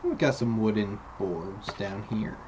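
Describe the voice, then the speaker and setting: A man giving relaxed commentary as he plays a video game, with game sound behind him. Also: on the low side, a little slow, dull audio, noisy background. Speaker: video game let's player. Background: video game ambience.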